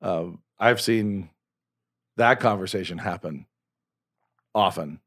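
Male host, 40s, low voice, dry close mic, earnest rambling chat. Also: slow pace, fairly bright tone, no echo. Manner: rambling, restarts often, earnest. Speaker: podcast host and novelist. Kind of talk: casual conversation.

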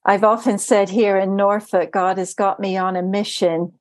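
A woman's voice: mid-range voice, level tone, moderate pace, dry recording. Cheerful middle-aged woman, dry close sound. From Germany. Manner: warm, laughs often, speaks with faith. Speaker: podcast guest, life coach. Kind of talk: casual interview chat.

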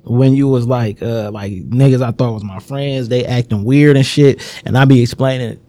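A man's voice: mid-range voice, steady tone, moderate pace, warm tone. A man co-hosting a podcast, giving laid-back, streetwise advice and checking in with his listeners often. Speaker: podcast co-host. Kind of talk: casual podcast chat.

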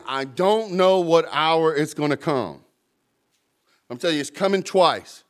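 A man's voice: mid-range voice, a bit bright. A man preaching a sermon with emphasis, calling out to the congregation and joking about his own mumbling. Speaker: pastor.

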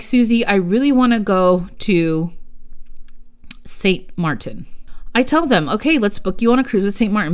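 Chatty woman hosting a travel podcast. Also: mid-range voice. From United States. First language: Spanish. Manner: chatty, addresses listeners as "you guys", digresses. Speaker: podcast host and travel agent. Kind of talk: casual solo podcast talk.

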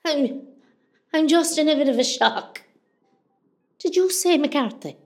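A woman acting a nosy, warm-hearted older Irish mother from West Cork in a radio play, chatty and exclamatory. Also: high voice, lively intonation, measured pace. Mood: shocked.

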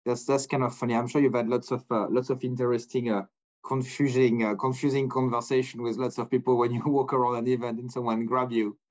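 A man's voice: medium pitch, level tone, fairly quick, a little mellow, muffled recording. Rambling man hosting a business podcast. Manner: rambling, many restarts, non-native phrasing. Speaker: podcast host. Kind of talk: casual business interview.

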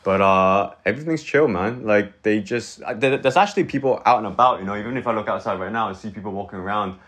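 Relaxed low-voiced man in his thirties. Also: fast, slightly rough. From Hong Kong. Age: 30s. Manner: relaxed, rambling, many fillers like "like" and "you know". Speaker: guest. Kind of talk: casual chat.

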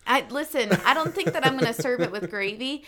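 A woman's voice: high-pitched, expressive, brisk, pushed and bright. A woman co-hosting a radio show, chatting casually in an upbeat, emphatic way.

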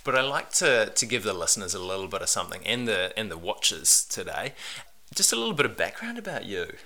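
A man's voice: on the low side, very animated, fast, bright, forceful tone. A man hosting a podcast, asking questions in an easygoing, encouraging way. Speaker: podcast host. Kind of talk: casual interview chat.

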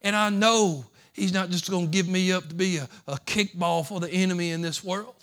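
A man preaching a sermon in a slightly high voice. He talks in a folksy, repetitive way, moving from soft conversational stretches into loud, fervent preaching. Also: fairly quick. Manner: folksy, repeats phrases. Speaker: pastor.

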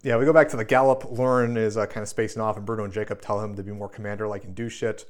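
A man's voice: fairly low pitch, steady tone, racing. A man co-hosting a podcast, casually recapping episodes with lots of ums and loose asides. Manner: loose, filler-heavy recapping. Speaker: podcast co-host.

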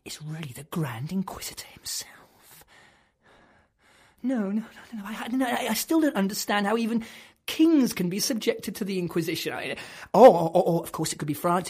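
A woman acting a deluded male clerk who believes he is king, in a dramatic monologue that swings between hushed whispers and loud outbursts. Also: fairly low pitch, lively intonation, normal speed, breathy edge. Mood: whispered suspicion.

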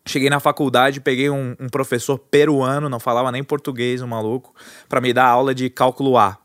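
A Brazilian man in his thirties, a guest entrepreneur telling his story in a relaxed, chatty way, with lots of fillers and restarts. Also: medium pitch, fairly quick, dry recording, studio quality. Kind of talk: casual storytelling interview.

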